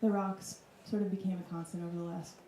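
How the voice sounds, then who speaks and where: Woman from wisconsin, thirties, warm dark voice, reflective, roomy club sound. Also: fairly low pitch, flat delivery, fast talker. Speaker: artist guest. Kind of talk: casual reflective talk. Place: club room with audience. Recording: echoey room.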